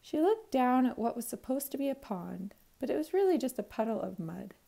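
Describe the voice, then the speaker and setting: A woman, a teacher reading a children's storybook aloud in an expressive, clearly phrased way. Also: high-pitched, brisk. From Canada.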